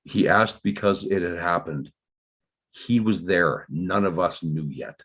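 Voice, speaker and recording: A man playing a burdened, defensive father in a dramatic table read, explaining himself in halting, measured phrases. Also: low-pitched, a bit bright. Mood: subdued, explaining.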